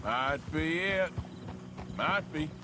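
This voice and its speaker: An actor playing a seasoned, laconic gunslinger in a western video game, dry and protective, with an American accent. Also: male voice, a little high, animated, slow, background noise. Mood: watchful. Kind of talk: naturalistic video game acting.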